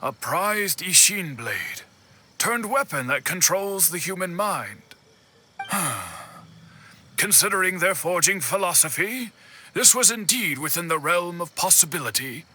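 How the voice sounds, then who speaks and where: An actor playing an earnest, expressive man in a video game, articulate and deliberate, with music behind him. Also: sits high, slightly rough, heavily acted. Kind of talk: scripted game dialogue.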